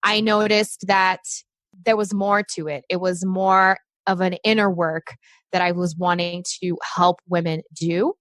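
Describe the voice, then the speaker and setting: A woman's voice: on the low side, fairly even intonation, a little slow, a bit bright, dry recording. Chatty woman from Brazil, dry close sound. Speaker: podcast guest, success coach for moms. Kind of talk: casual interview chat.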